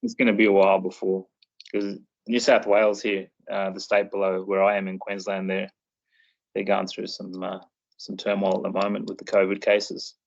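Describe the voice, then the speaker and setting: A man's voice: low-pitched, flat delivery, moderate pace, gravelly. A man hosting a casual podcast chat, talking in a laid-back, rambling way. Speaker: podcast host. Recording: dull lo-fi recording.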